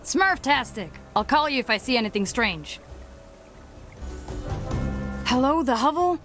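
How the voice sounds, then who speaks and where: A woman's voice: high-pitched, lively intonation, unhurried, over music. A woman playing a feisty, brave young Smurf in a cartoon game, plucky and direct. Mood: alert. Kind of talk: animated game voice acting.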